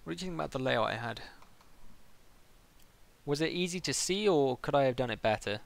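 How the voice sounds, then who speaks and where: Creaky-voiced man hosting a livestream. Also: mid-range voice, animated, brisk. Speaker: live stream host and interviewer. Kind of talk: casual livestream interview chat.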